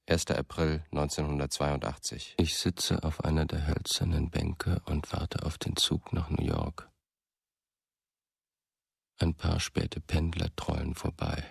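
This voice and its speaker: Brooding radio play narrator, super gravelly, slow and measured, introspective. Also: male voice, a bass voice, level tone, no room sound, clean studio sound, exaggerated voice. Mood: brooding, detached. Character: brooding first-person narrator, disoriented yet lucid. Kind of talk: scripted radio drama narration.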